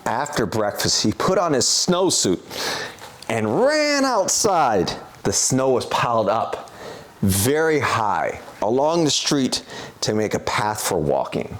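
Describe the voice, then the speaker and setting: A man co-hosting a children's read-aloud, playful and expressive, drawing out words and addressing the kids directly. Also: mid-range voice, slow pace. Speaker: co-host reading a children's picture book.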